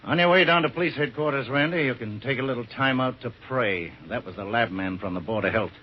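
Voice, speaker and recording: Actor as brisk city editor, muffled old radio. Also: male voice, medium pitch, lightly acted. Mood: grim, businesslike. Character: brisk, pushy male city desk newspaper editor. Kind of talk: 1950s radio drama acting. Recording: muffled, noisy 1950s radio recording.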